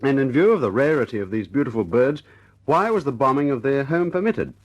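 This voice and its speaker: A man acting in a radio drama as a cocky, jovial air force officer and ladies' man, husky-voiced and playful. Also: medium pitch, expressive, brisk, lightly acted, poor audio. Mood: amused, mock-solemn.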